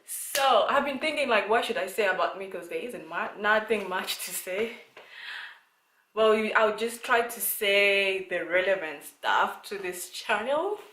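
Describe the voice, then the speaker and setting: A woman's voice: mid-range voice, animated, a little slow, pushed and bright, echoey room. A young woman from Zimbabwe talking casually to her channel's viewers, rambling with lots of fillers and restarts. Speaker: vlogger. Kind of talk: casual talk to camera. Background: child talking in the background.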